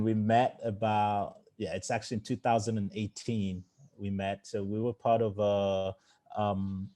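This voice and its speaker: A man who grew up in England, a startup founder speaking casually in a fireside chat, with many fillers. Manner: conversational, lots of fillers, low flat voice.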